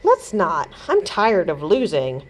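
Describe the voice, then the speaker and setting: A woman's voice: fairly low pitch, huge pitch swings, takes it slowly, exaggerated voice. A woman reading the lines of an easygoing, patient fifth-grade boy in a children's storybook, good-natured and a little resigned.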